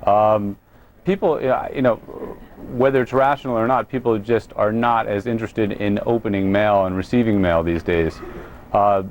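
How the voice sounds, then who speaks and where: A man with an American accent answering as an executive guest in a news interview, explaining things in a hesitant, halting way. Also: lowish voice, darkish tone, slight background noise. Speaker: company president, interview guest.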